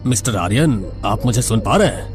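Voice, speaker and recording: A man playing a matter-of-fact official briefing a patient in a horror story, measured and formal. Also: on the low side, lively intonation, faint music behind, close to an everyday voice. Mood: formal concern.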